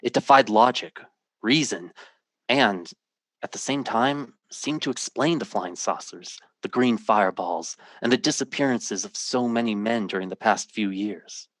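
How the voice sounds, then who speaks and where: Earnest troubled radio drama hero, lowish voice, intense, dry sound. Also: male voice, measured pace, fairly bright tone, dry recording. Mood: narrating gravely. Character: earnest, troubled man telling a fantastic story. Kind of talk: old-time radio drama acting.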